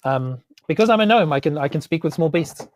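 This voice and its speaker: A woman's voice: low-pitched, expressive, brisk, darkish tone, no room sound, studio quality. A woman with a New Zealand accent talking casually as a role-playing player, politely and a little hesitantly. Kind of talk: casual game talk.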